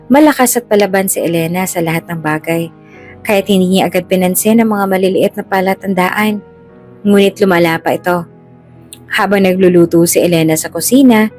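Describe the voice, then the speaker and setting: A woman's voice: medium pitch, a little mellow. A woman storyteller reading a dramatic scripted narration. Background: music.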